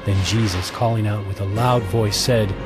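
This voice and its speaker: A man with a fairly low voice narrates scripture in a measured, solemn way. Music plays clearly underneath his scripted reading. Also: fairly even intonation. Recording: prominent music bed.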